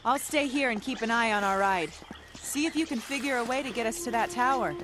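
A woman's voice: fairly high pitch, fairly quick, lightly acted. An actress playing a determined young American woman adventurer in a video game, casual and insistent. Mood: curious. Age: young adult. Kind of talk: video game acting, naturalistic dialogue. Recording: loud game music behind.

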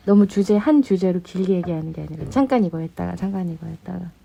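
A woman's voice: fairly low pitch, expressive, fast, creaky, highs cut off, background noise. A woman chatting casually as a podcast co-host, speaking in short, lightly teasing turns. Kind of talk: casual chat.

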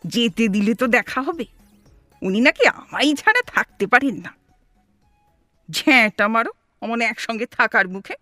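A woman playing an exasperated, sharp-tongued elderly wife with a West Bengal accent in a comic audio drama, scolding and sarcastic. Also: on the high side, lively intonation, a little slow, pauses often, dry recording, soft background music. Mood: grumbling. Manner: scolding, sarcastic, theatrically grumbling.

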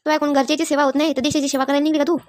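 A woman's voice: sits high, flat delivery, racing, slight background noise, close to an everyday voice. A woman voicing a nagging, self-important older mother-in-law in a cartoon comedy, complaining and scolding. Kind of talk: cartoon comedy skit voice acting.

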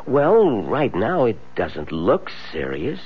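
An actor playing a matter-of-fact, reassuring doctor in a 1970s radio drama. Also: male voice, lowish voice, huge pitch swings, slow pace, full character voice. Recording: dull, faintly noisy 1970s radio broadcast.

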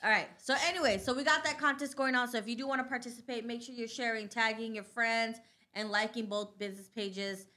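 A woman in her thirties with a Southwestern US accent, co-hosting a casual livestream from a small studio on a boom mic, chatty and laughing often. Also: fairly even intonation, brisk, pushed and bright. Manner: chatty, laughs often, reads out viewer comments. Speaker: co-host. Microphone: studio mic on a boom, headphones.

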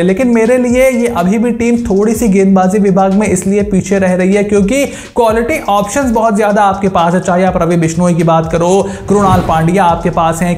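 A young man hosting a cricket analysis video, ranking teams straight to camera and slowing down to stress each announcement. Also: high voice, a little mellow. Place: small indoor studio with brick-pattern wall. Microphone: lapel mic, camera at mid distance. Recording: roomy echo.

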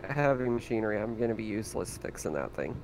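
A man's voice: lowish voice, big pitch swings, quick pace, darkish tone, a little hiss or hum, low-quality recording. A man talking casually as a player in a tabletop roleplaying game.

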